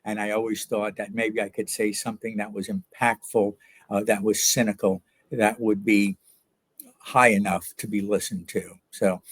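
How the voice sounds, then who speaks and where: A low-voiced older man speaks slowly and thoughtfully, pausing often as he searches for words. He is from New York, an author being interviewed, and the sound is dry and close. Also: little pitch movement, husky, dry recording. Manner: reflective, hesitant, many ums and uhs. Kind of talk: interview answers.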